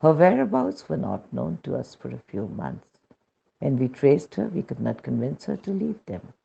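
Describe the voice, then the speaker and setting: A man with an Indian accent reads a scripted devotional testimony in a measured, solemn manner, on a dull recording. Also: mid-range voice, big pitch swings, vocal fry, warm tone, husky.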